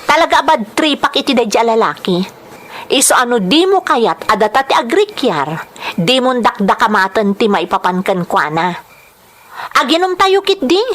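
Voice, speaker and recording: A woman's voice: a little high, very animated, normal speed, a bit bright. A woman playing a haughty, short-tempered young rich woman in an audio drama, with a scolding, imperious manner. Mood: annoyed. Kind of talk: Ilocano audio drama acting.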